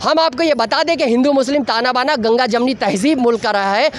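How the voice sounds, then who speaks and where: A man giving a semi-improvised news report, speaking in a halting, repetitive way with filler phrases. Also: very high-pitched.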